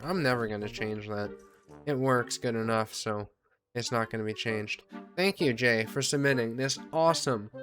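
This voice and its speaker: A man's voice: medium pitch, a bit bright. Male gameplay commentator, mid-range voice, casual and self-deprecating, rambling delivery with mild swearing, faint music behind. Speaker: gaming video host.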